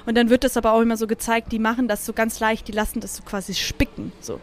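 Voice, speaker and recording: A woman's voice: a little high, fairly even intonation, quick pace, slight background noise. A woman co-hosting a podcast, chatting casually in a playful storytelling way. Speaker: podcast co-host and aspiring actor. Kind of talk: casual chat.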